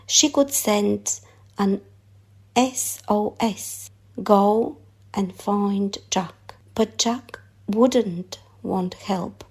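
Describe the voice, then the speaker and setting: A woman narrating a children's story aloud in an expressive read-aloud style. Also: mid-range voice, vocal fry, husky.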